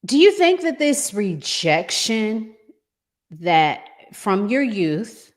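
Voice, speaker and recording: A woman hosting a relationship discussion in a conversational, deliberate way, pausing in the middle of her phrases. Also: medium pitch, big pitch swings. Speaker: podcast host.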